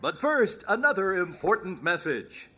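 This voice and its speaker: A man's voice: sits high, very animated, measured pace, pushed and bright, a little hiss or hum, full character voice. A man playing an upbeat, polished 1930s radio announcer with a salesy delivery. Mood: upbeat, selling.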